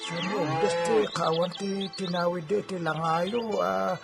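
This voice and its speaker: A high, creaky, timid servant. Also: male voice, flat delivery, unhurried. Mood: nervous, hesitant. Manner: hesitant, stammering, apologetic. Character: timid, deferential male ranch servant. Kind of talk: Ilocano radio drama acting. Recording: very loud music bed behind voice.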